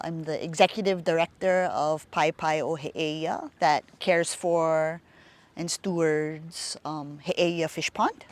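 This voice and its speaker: Unhurried Hawaiian woman, slow talker. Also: sits low, no echo. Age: middle-aged. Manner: unhurried, pausing often with fillers, warm laughs. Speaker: fishpond nonprofit director. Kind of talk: reflective interview talk.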